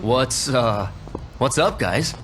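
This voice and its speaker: An actor playing an edgy young slacker gang member in a video game, slangy and defensive. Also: male voice, mid-range voice, expressive, takes it slowly, shouting. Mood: uneasy. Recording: clear background noise.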